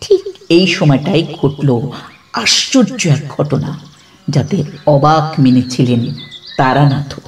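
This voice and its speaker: Higher-voiced man narrating horror, slightly distant. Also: sing-song, soft background music. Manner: suspenseful, pausing between short phrases. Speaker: story narrator. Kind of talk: dramatic scripted horror story narration.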